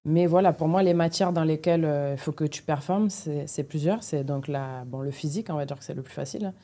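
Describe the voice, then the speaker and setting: Woman podcast guest, low pitched n mellow, methodical, down to earth, hunts for words. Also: fairly quick. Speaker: elite jiu-jitsu athlete, podcast guest. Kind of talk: explanatory interview talk.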